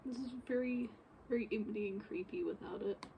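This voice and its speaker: A woman giving casual, unscripted commentary while working on screen, rambling and halting as she goes. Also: medium pitch, vocal fry, dark tone, slight background noise. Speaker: game developer recording a work-in-progress video. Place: bedroom, indoors.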